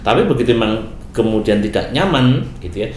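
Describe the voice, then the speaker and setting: A man's voice: lowish voice, expressive, fairly quick. A man, a guest in a casual talk-show discussion, explaining things and often checking for agreement. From Bekasi, Indonesia. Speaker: guest speaker. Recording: echoey room with faint noise.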